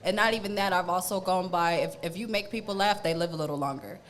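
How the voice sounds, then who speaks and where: A woman comedian, talking loudly and jokingly in a casual podcast interview. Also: lowish voice, fast. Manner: loud, lively and joking, with a strong voice.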